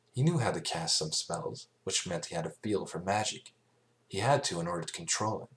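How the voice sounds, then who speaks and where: Low-voiced man narrating a story. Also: fairly bright tone, rough recording. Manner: halting reading with stumbles and repeated phrases. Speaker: amateur fan fiction narrator.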